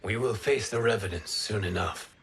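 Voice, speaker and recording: An actor voicing a calm, wry male wind god, with a game score behind. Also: fairly low pitch, moderate pace, breathy edge. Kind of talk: video game cutscene acting. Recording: game cutscene with loud score.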